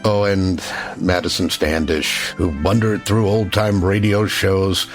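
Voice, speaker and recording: A male announcer giving measured, formal scripted narration for a radio drama. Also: sits low, fairly even intonation, soft background music.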